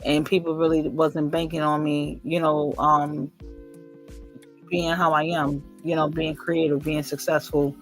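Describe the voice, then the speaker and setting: Loud deep-voiced woman on a call. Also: flat delivery, measured pace, faint music behind. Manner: loud, boisterous. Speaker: interview guest. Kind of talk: casual online interview. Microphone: online call.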